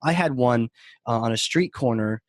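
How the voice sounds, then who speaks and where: A man's voice: medium pitch, moderate pace, dry recording, studio quality. A man from New Hampshire, co-hosting a podcast, telling stories in a chatty way and laughing often. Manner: chatty storyteller, laughs often, restarts phrases. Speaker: podcast co-host. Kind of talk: casual chat.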